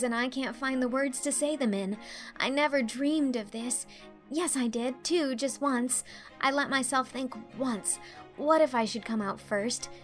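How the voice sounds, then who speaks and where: A woman's voice: on the high side, natural voice. A woman acts out a dreamy teenage girl from a storybook, full of earnest rapture. The voice is raised and effusive, with music behind it. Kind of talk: dramatised audiobook character acting.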